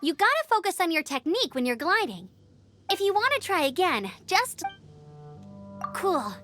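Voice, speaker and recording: A woman voicing an upbeat, bubbly teenage girl glider in a video game, with a perky, earnest, animated way of talking. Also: extremely high pitch, moderate pace, soft background music, full character voice. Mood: cheerful.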